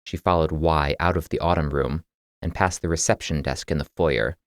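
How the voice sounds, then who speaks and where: A man's voice: very deep voice, steady tone, normal speed, no echo, studio quality. A man reading scripted fiction as an audiobook narrator.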